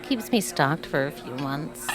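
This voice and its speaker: A woman's voice: low-pitched, lively intonation, a little slow, a crowd in the background. A woman playing a chatty, nosy office coworker, casual and confiding, in a naturalistic play. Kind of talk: naturalistic stage acting in a livestreamed play.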